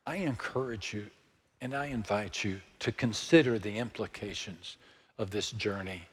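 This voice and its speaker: Older Texan man, pastor, sermon, measured delivery, hushed drops, fairly low voice, long reverb. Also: husky. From Texas. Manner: slow, measured preaching with dramatic hushed drops to near whisper.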